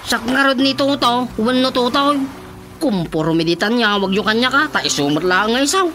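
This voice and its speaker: A man's voice: very high voice, animated, moderate pace, sharp tone, heavily acted. An actor with an Ilocano Filipino accent playing a chatty, cheeky young male relative in a radio drama comedy. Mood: excited.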